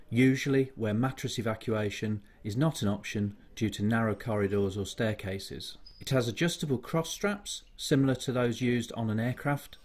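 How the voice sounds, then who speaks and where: A man delivers a scripted instructional voice-over for staff training. His voice has a slightly husky edge. He moves through each step in a measured, formal way. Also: medium pitch, darkish tone. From United Kingdom. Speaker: training video narrator.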